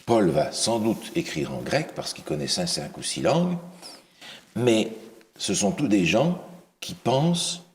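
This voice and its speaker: A man preaching a Bible study, asking the listeners questions and pausing on fillers and restarts. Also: fairly low pitch, big pitch swings. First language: not English. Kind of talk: interactive Bible teaching.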